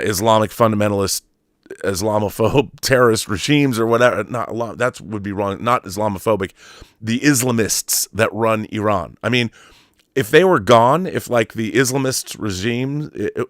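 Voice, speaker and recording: A man giving a casual, opinionated monologue as a YouTube host, speaking bluntly and haltingly, often repeating words for emphasis. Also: fairly low pitch, dry recording, clean studio sound. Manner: blunt, sweary.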